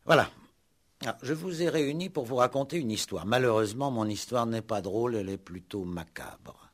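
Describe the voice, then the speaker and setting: An actor playing a shrewd, ironic police commissioner in a 1960s French radio play, speaking with deliberate, mock-courteous drama. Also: male voice, medium pitch, natural voice. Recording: old 1960s radio recording.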